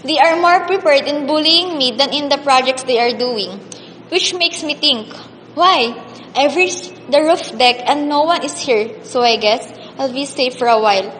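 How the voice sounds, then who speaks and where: A woman playing an earnest, high-voiced grieving teenage girl. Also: a bit bright. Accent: Filipino. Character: orphaned teenage scholarship girl, grieving, bullied, defiant. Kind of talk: amateur radio drama, first-person emotional narration. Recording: reverberant hall, audible background hiss.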